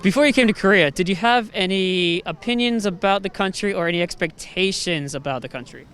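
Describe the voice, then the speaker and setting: A man's voice: high-pitched, brisk, fairly bright tone, smooth. Upbeat young man interviewing on the street. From United States. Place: city street outdoors.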